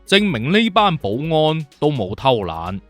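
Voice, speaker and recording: 一個男人用粵語有聲小說嘅演法，扮演一個自信、直率、咄咄追問又冇耐性嘅刑偵顧問。. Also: male voice, fairly high pitch, sing-song, measured pace, no room sound, soft background music. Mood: composed, assured. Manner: assertive, questioning, direct. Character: self-assured, blunt detective consultant, probing and impatient. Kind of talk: Cantonese audiobook character acting.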